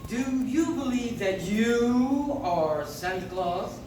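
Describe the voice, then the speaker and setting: An actor playing a mild, polite, hesitant prosecutor in a stage radio play. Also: male voice, very high voice, expressive, slow, over music, exaggerated voice. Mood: courteous, amused. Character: mild, courteous assistant district attorney. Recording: echoey, distant, noisy audience-member recording.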